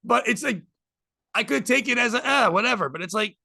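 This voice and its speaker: A man in his forties with a high, gravelly and bright voice. He chats candidly and rambles as a podcast host, swearing freely. The sound is dry and close. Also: lively intonation, a little fast, dry recording. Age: 40s. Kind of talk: casual chat.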